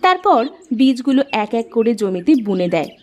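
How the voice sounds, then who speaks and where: A woman's voice: on the high side, sing-song, normal speed, a little mellow. A woman reading scripted narration for a children's cartoon story.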